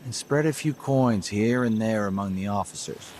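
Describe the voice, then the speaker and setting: A man's voice: lowish voice, normal speed, heavy background noise, natural voice. A man playing a breezily callous, corrupt city guard in a video game, matter-of-fact and cynical. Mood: cynical, breezy.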